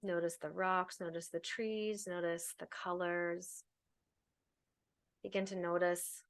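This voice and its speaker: A woman leading a guided meditation as a teacher, giving soothing, unhurried instructions with pauses. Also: on the low side, little pitch movement, clean tone.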